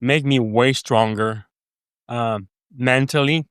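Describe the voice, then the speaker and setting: A middle-aged French Canadian man, a native French speaker, talking earnestly in a casual interview, with halting speech and frequent restarts. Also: medium pitch, clean tone, no echo, clean studio sound. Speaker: podcast guest.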